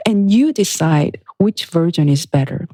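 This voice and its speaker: A woman's voice: lowish voice, lively intonation, dry recording, studio quality. Reflective woman. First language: Korean. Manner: reflective, unhurried, with soft laughs and restarts. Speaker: guest pianist and author. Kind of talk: thoughtful conversational interview.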